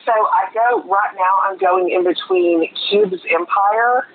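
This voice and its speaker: A man's voice: sits high, big pitch swings, measured pace. A man chatting casually as a podcast co-host in a rambling, chatty way, with some casual swearing. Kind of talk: casual chat. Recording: tinny phone-like line with clear noise.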